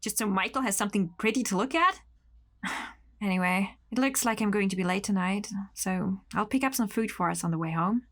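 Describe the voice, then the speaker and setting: A woman's voice: medium pitch, brisk, close to an everyday voice. A woman playing a jaded, resentful young office worker in an audio horror drama. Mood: tender, bitter.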